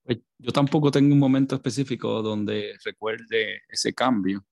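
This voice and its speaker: A man co-hosting a podcast, talking in a measured, reflective way with frequent fillers. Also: medium pitch, flat delivery, creaky, darkish tone, no echo. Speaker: podcast co-host. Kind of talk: casual conversation.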